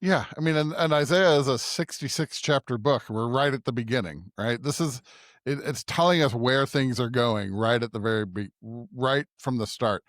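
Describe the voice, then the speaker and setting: A man's voice: mid-range voice, normal speed, fairly bright tone, no room sound. Man discussing scripture, close dry sound. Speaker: podcast co-host. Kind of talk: scripture reading and conversational commentary.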